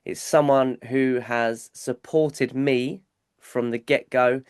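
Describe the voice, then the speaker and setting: A man's voice: medium pitch, fairly even intonation, slow pace, clean tone, dry recording, studio quality. Stuffy-nosed man hosting a podcast. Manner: chatty, repeats words, says mate often. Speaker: podcast host. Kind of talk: casual podcast chat.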